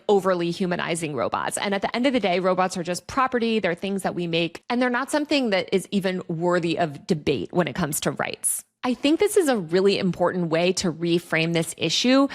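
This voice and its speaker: Woman doing video essay commentary, medium pitch, articulate, explains stuff, part scripted part casual. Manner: articulate, explanatory, reads out quotations. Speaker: host of a tech and online culture commentary show.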